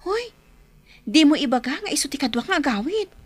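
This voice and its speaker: A woman's voice: high-pitched, big pitch swings, brisk, no room sound, soft background music. An actress playing a sweet, coy young woman who is being courted in a radio romance drama. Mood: flustered.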